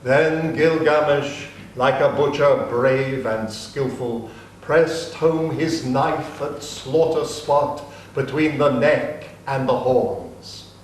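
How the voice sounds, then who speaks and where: A man narrating an ancient epic in a dramatic reading, slow and solemn. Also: mid-range voice, slight background noise. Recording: echoey room, distant mic.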